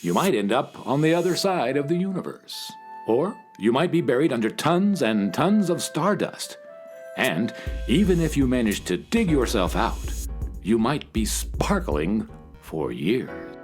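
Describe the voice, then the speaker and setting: Animated man narrating a children's story. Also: medium pitch, measured pace, faint music behind. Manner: addresses young listeners directly.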